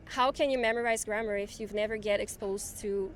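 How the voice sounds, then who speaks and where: A woman's voice: mid-range voice, normal speed, a little hiss or hum. Chatty woman, light laughs. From Quebec, Canada. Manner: relaxed, laughs often, hesitant fillers. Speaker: guest. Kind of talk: casual interview conversation.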